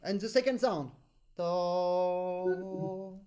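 A man with a French accent, a native French speaker, teaching an accordion master class over a video call, animated and pausing deliberately between phrases. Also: high-pitched, a little hiss or hum. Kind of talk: online master class instruction.

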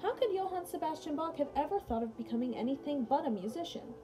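A woman's voice: high voice, animated, fast talker. A young adult woman giving a casual read-aloud lesson as a music teacher, mixing read passages with chatty, informal asides. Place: small room at home, indoors. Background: music playing behind the voice.

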